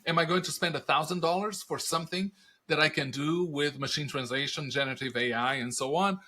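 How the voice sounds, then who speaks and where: A middle-aged man whose first language is Portuguese speaks English with a Brazilian accent. His tone is bright and forward, set slightly high. He talks like an animated storyteller who answers his own rhetorical questions. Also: a little fast. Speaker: podcast guest, industry analyst. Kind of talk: conversational interview answers.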